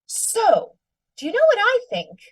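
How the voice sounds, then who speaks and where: An actress playing a nagging, extravagant wife in a 1940s-style radio comedy, prim and mock-sweet. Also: female voice, extremely high pitch, huge pitch swings, unhurried, far from the mic, full character voice, poor audio.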